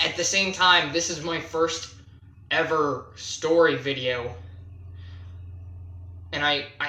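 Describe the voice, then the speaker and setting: A young man telling a casual, unscripted gaming story in a halting, self-deprecating way, stressing key words as he goes. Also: medium pitch, sharp tone. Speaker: video creator telling a personal gaming story. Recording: roomy, echoey home recording.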